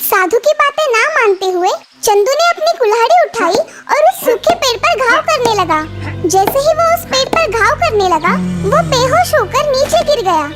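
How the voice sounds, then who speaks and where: Casting: female storyteller for children's tales, extremely high voice, loud music underneath. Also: sing-song, moderate pace. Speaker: narrator. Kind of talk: scripted children's story narration.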